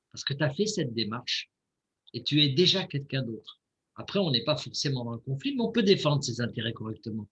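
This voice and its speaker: A man's voice: on the high side, a bit bright, husky. A man from Italy explaining informally and earnestly to viewers over a dull online video call.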